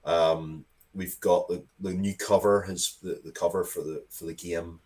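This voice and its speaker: A man with a low-pitched voice talks casually as a guest in an interview. He rambles along conversationally, interrupting himself and circling back to restart his thoughts. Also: steady tone, a little slow, a little mellow. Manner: rambling, self-interrupting, restarts sentences often. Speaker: interview guest, comics and game writer.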